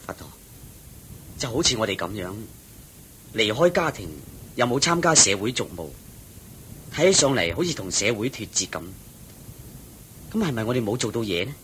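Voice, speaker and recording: An actor with a Hong Kong Cantonese accent playing an earnest monk disciple in a radio drama, deferential and inquiring. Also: male voice, sing-song, moderate pace, long pauses, fairly bright tone. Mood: earnest questioning. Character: Ananda, earnest, respectful male monk disciple. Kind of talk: Buddhist radio drama acting. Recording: noisy recording with low rumble.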